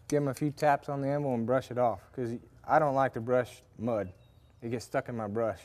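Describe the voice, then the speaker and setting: A man's voice: medium pitch, a little mellow. Folksy man teaching horseshoeing. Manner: folksy, rambling, lots of fillers and restarts. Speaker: farrier instructor. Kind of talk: casual hands-on demonstration talk. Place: farrier workshop with forges.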